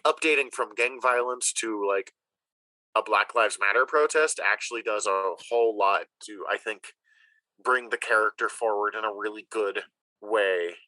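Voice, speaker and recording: A man's voice: lowish voice, pushed and bright, dry recording, low-quality recording. A man hosting a podcast, casually and enthusiastically recommending things, with some swearing. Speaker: podcast host. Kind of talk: casual chat, giving recommendations.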